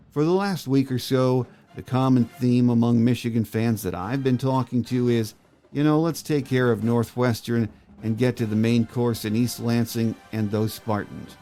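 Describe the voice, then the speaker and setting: A man's voice: medium pitch, warm tone. American guy hosting a sports podcast, regular mid voice, bit of music around. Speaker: podcast host. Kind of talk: scripted sports podcast monologue and interview.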